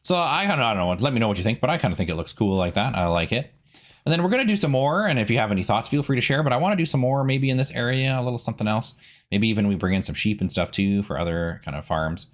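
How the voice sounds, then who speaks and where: A man's voice: medium pitch, animated, fast. A man hosting a gaming series, giving chatty, rambling, easygoing casual commentary as he plays.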